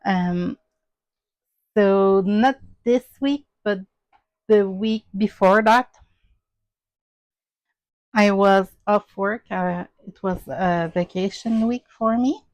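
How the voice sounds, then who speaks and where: Slow, hesitant woman with a Québécois French accent. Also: medium pitch, a little mellow, dry recording. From Quebec, Canada. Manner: hesitant, many "um" and "uh" fillers. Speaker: crafting channel host. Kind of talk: casual show-and-tell vlog.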